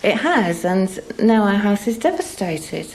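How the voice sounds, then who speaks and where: An aggrieved woman speaks informally as a local resident. Her voice is mid-pitched, with faint ambience behind it. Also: sing-song, measured pace, darkish tone, slight background noise, rough recording. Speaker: flood-hit homeowner. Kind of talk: informal news interview.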